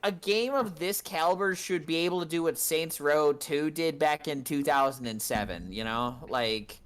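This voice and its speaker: A young man co-hosting a casual gaming chat, animated and swearing as he rants. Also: on the high side, clear, resonant tone. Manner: animated, swears, quick bursts when ranting. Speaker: podcast co-host.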